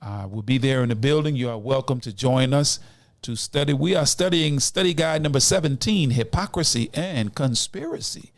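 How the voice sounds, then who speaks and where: A man's voice: mid-range voice, normal speed, no room sound. Man hosting, dry close sound. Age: late 50s, nearly 60. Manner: exhortatory, addresses listeners as brothers and sisters. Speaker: podcast host. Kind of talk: community podcast talk with announcements and shout-outs.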